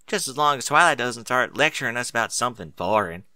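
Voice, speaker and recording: A man's voice: mid-range voice, lively intonation, fast, sharp tone, clear, resonant tone, no echo, studio quality. A man voicing a young pony filly, eager, stubborn and cheeky, with folksy informal talk, in a story reading.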